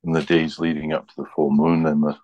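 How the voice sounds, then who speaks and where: A middle-aged man with a strikingly low voice talks in a loose, folksy way. He rambles casually as a presenter in informal chat. The sound is dry, with little room to it. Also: level tone, brisk, darkish tone, dry recording, highs cut off. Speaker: radio show presenter.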